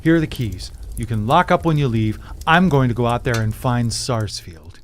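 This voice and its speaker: A man's voice: medium pitch, normal speed, soft background music, close to an everyday voice. A man playing an earnest, stubborn, eccentric townsman in an improvised radio drama, speaking insistently. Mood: defiant, agitated.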